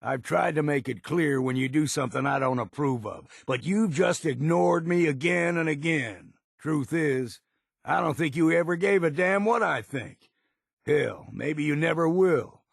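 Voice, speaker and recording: Game companion role: weathered older island guide, heavy fry and rasp, blunt folksy drawl, wary and gruff. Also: male voice, fairly high pitch, a little slow, no echo, studio quality. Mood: blunt, grudging. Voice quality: gravelly, raspy. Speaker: companion character in a video game. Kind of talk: video game voice lines.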